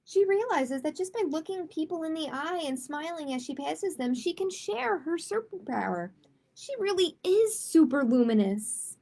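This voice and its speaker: A woman's voice: very high voice, animated, normal speed, vocal fry, mellow. A woman hosting a children's storytime, reading picture books aloud expressively and adding simple chatty asides with little check-in questions. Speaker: library storytime host.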